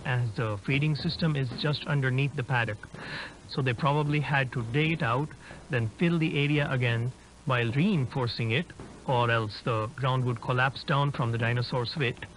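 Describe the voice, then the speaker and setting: A man's voice: mid-range voice, close to an everyday voice, poor audio. A man playing an inquisitive explorer, explaining things conversationally as he films a found-footage drama. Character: inquisitive male urban-explorer filming a dinosaur park. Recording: humming background noise.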